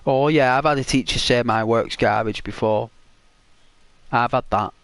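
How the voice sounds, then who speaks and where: A man's voice: mid-range voice, moderate pace, smooth, dry recording. A man with a British accent chatting casually while playing a video game, rambling with lots of "like" and restarts. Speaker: gaming channel host.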